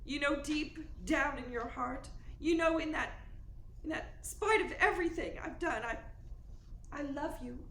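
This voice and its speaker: An actress playing a desperate, scheming femme fatale in a 1940s radio drama, pleading in a faltering, melodramatic way. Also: female voice, high-pitched, measured pace, vocal fry, a bit bright, full character voice. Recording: roomy echo with noticeable background noise.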